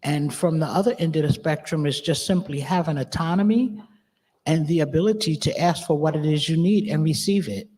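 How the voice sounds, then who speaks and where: A woman speaks on a panel in a low, husky voice. Her delivery is direct and plain-spoken, with firm emphasis. A big hall's reverb trails her words. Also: brisk, warm tone. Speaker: panelist, activist. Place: large auditorium stage. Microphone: handheld microphone. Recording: reverberant hall sound.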